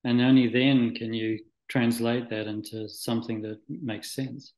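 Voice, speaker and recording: A man's voice: medium pitch, steady tone, darkish tone. Thoughtful man in an echoey room. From Scotland, moved to New Zealand at nine. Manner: halting, thoughtful, searching for words. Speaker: interview guest, spiritual practitioner. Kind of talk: reflective, conversational interview answers.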